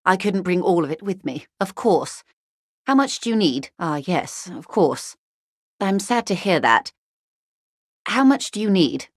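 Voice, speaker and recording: A woman's voice: on the low side, very animated, unhurried, no room sound. A woman playing a poised, wealthy, shrewd corporate negotiator in a video game, speaking formally and with polite, guarded care. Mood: wry, amused. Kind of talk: video game character dialogue.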